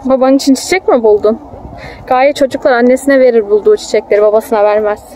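A woman's voice: on the high side, fairly even intonation, fast talker, warm tone, rough recording. A young woman chatting casually and affectionately with her small child, explaining things simply and coaxing her along. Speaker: mother filming a family outing. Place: outdoors in a city park. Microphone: handheld phone held by the speaker. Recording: outdoor phone video with faint noise.

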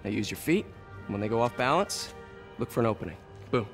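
A man's voice: medium pitch, lively intonation, fast, vocal fry, soft background music. An actor playing an earnest young male superhero in a video game, an American who talks in an informal, reassuring way. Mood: coaching. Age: young adult. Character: young male superhero scientist, earnest, encouraging, wisecracking mentor. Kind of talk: acted video game dialogue.

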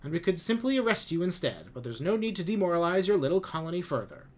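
A man voicing a smug, pompous male military commander who declaims loudly and grandly. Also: high-pitched, big pitch swings, fast talker, pitched above the performer's own voice. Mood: grandiose.

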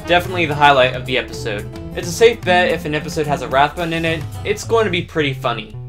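A man's voice: on the high side, lively intonation, quick pace, fairly bright tone, faint music behind. A young adult man hosting a countdown review video in scripted narration.

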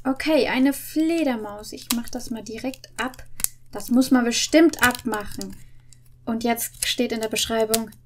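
A woman's voice: on the high side, unhurried, a little hiss or hum. A young woman talking casually and playfully as a video host, thinking aloud close to a desk microphone indoors. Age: young adult. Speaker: video host opening an advent calendar. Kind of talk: playful casual chat while solving puzzles. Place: indoor home studio with green screen. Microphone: desk microphone with pop filter, close.

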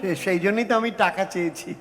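Flustered, very high-pitched young groom-to-be. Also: male voice, a little fast, a bit bright, long reverb tail. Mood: eager to please. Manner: hesitant, stammering, repeats words nervously. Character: flustered, eager-to-please, meek prospective groom. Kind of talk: audio drama acting.

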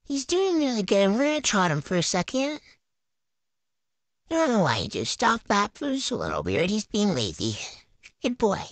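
A young woman gives casual play-by-play commentary as a gaming vlogger. She talks in short, chatty phrases, narrating each move as she goes. Her voice sits at a medium pitch and is recorded close, with very little room sound. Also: sing-song, normal speed, long pauses, no room sound.

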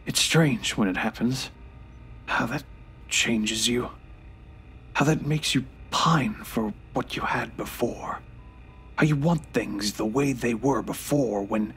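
An actor playing a frail, bitter, remorseful old grandfather in an audio drama, halting and irritable, sometimes hushed and breathy. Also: medium pitch, heavily acted. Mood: wistful, remorseful.

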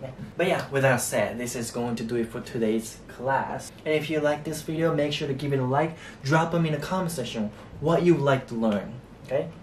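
A young man with a Japanese accent, teaching a relaxed whiteboard lesson and tagging his sentences with a soft "ne" and "you know". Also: brisk. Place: small indoor room at a whiteboard. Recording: echoey room, distant mic, faint rumble.

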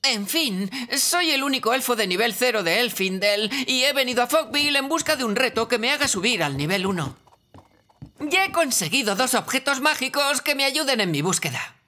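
A woman's voice: a little high, animated, moderate pace, pushed and bright. A woman voicing a naive young elf adventurer in a cartoon dub, speaking in a grand, old-fashioned way with a Castilian Spanish accent. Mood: earnest.

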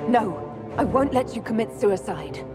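A woman's voice: a little high, animated, normal speed, over music, lightly acted. A woman playing a determined young elf heroine in a video game, level-headed and earnest. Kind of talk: video game dialogue acting.

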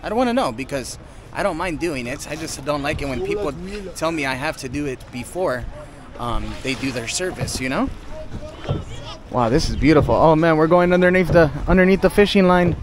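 A man's voice: on the high side, expressive, fairly quick. A man in his thirties chatting to the camera as a travel vlogger, filming himself at arm's length on a small boat outdoors. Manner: chatty, talks straight to camera, opinionated asides. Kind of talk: casual travel vlog. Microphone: action camera held at arm's length. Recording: outdoor wind and boat noise. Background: wind and water noise.

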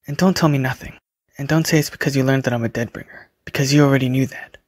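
A man plays an earnest young man in an audio drama, a mortician with a dark gift. His voice is husky and dry, and his manner is pleading and sincere. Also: mid-range voice, fairly even intonation, fast talker, no room sound, natural voice.

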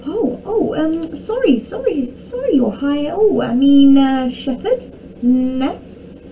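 A woman's voice: sits high, sing-song, slow pace, warm tone, slight background noise, voice pushed up, exaggerated voice, low-quality recording. A woman voicing a flustered, anxious townsman in a children's storybook, puzzled and easily tongue-tied. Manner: stammering, anxious.

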